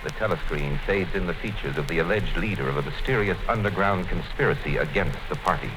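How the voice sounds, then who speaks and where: A man narrating a radio play in a measured, formal way. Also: sits low, background noise. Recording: old radio broadcast with music under it.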